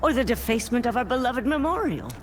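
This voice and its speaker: A woman's voice: fairly high pitch, lively intonation, fast talker, close to an everyday voice. A woman playing a theatrical, commanding member of a sisterhood in a fantasy video game. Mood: dismissive. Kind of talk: dramatic video game voice acting. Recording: music underneath the voice. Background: game music.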